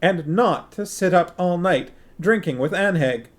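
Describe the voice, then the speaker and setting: A man's voice: high voice, a little slow, voice pushed up. A man voicing a plump little queen who frets and pleads in a worried, sniffly way. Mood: fretful.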